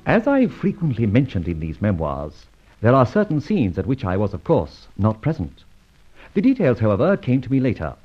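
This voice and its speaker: An actor playing a suave gentleman adventurer and secret vigilante in an old radio serial, with an urbane, wry, formally polite manner. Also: mid-range voice, wildly swooping pitch, moderate pace, soft background music. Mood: wry, measured. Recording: dull, lo-fi old radio recording.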